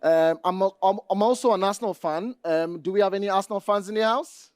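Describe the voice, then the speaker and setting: A man with a Nigerian accent giving a conference presentation, speaking deliberately and addressing the audience directly. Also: high-pitched, dry recording, studio quality. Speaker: conference speaker, database administrator.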